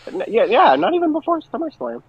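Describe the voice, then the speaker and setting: A man's voice: very high voice, very animated, fairly quick, dry recording. A man co-hosting a podcast, chatting casually about pro wrestling on a dull, lo-fi mic. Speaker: podcast co-host.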